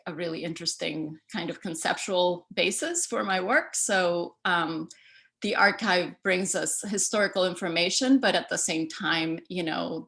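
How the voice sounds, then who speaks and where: Bright-voiced woman presenting over a call. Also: lowish voice. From El Salvador. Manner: conversational, many fillers and restarts. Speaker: artist giving a talk. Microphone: video call.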